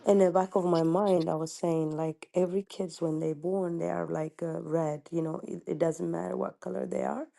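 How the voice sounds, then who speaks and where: A woman from Ethiopia with an Ethiopian accent, calling in with a question and speaking haltingly, searching for words. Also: lowish voice, steady tone, gravelly, warm tone. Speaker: caller.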